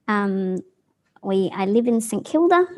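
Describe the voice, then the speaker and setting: A woman speaks casually to a live audience, warm and informal, often pausing on ums and restarting her sentences. Her voice sits at a middle pitch for a woman. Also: expressive. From Australia. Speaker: arts organisation leader, co-host. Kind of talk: casual spoken presentation at a live event.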